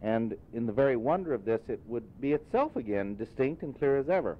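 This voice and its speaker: A man narrating a literary story as an audiobook reader, in deliberate phrases. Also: very animated. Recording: muffled phone-like audio, rumbling noise.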